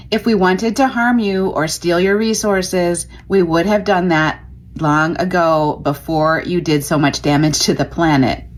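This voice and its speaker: A woman earnestly reading out a channeled message in a calm, measured way. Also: lowish voice. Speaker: self-styled channeler.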